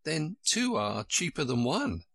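A man's voice: a little high, sing-song, measured pace, creaky, pushed and bright, slightly rough, no room sound, studio quality, exaggerated voice. A man voicing a curious, polite young girl in a raised, wondering and timid voice.

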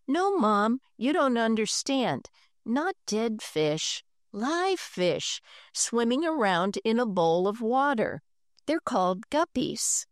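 An eager young boy from a storybook, his voice lifted high and bubbling as he pleads and shows off, as a woman reads him out. The words come slowly, with lots of rise and fall. The sound is close and dry. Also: very animated, no room sound.

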